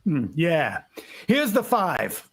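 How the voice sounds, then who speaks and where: Slow, grudging bargain hunter, played by a man. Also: a little high, wildly swooping pitch, husky, soft background music, full character voice. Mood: hard to please. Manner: hesitant, grudging, casual. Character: unimpressed, penny-pinching shop customer. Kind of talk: vintage radio drama acting.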